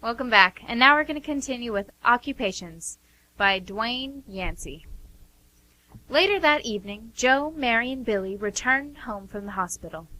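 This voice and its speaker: Bright-voiced woman narrator. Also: a little high, expressive, normal speed, rough recording. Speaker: narrator and co-host of a radio theatre. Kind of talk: scripted story narration.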